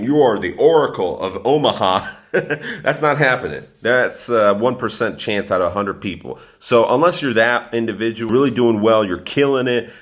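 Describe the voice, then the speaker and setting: A man in his twenties talking straight to his viewers in a casual, energetic explainer about money. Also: fairly low pitch. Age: 20s. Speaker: personal finance YouTuber.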